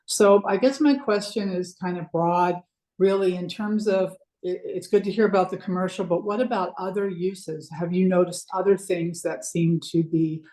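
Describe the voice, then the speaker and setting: A woman in a webinar audience asking a question in a tentative, halting way, often rephrasing herself. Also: fairly low pitch, fairly even intonation, dark tone.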